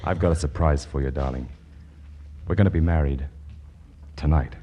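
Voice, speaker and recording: An actor playing an earnest, flustered young man, excitable and pleading, in 1940s radio romantic comedy. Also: very deep voice, moderate pace, slight background noise. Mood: relieved, tender. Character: earnest, flustered young suitor and exasperated son.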